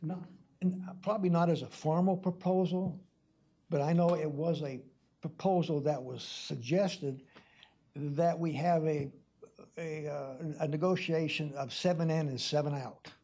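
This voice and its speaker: Halting, stuttering man, gravelly high-set voice. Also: steady tone, mellow. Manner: halting, repetitive, blunt and argumentative. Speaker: council member. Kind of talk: confrontational questioning at a public council meeting. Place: council meeting room. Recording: noisy public meeting room recording.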